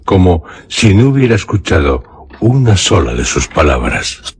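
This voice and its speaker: Castilian guy narrating spooky radio play, super deep raspy voice, slow and solemn. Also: big pitch swings. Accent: Castilian Spanish.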